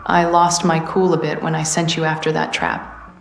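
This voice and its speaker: A woman playing a tough, weary resistance veteran who hands out missions in a video game, speaking plainly and in a measured way. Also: low-pitched, monotone, creaky, reverberant, faint music behind. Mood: firm, matter-of-fact. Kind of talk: video game character dialogue.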